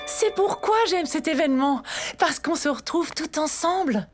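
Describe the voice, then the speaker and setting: A woman's voice: high-pitched, normal speed, lightly acted. An actress playing a gracious, affectionate mother pony queen, regal and reassuring, in a French cartoon dub. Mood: tender, reassuring. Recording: music behind the voice.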